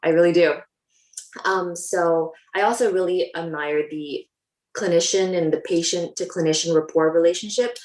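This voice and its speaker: A woman's voice: lowish voice, steady tone, normal speed, darkish tone. A young woman from Canada, a dental hygienist, talking casually and upbeat with lots of ums. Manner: chatty, upbeat, many ums. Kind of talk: casual talk to a live audience. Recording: echoey room.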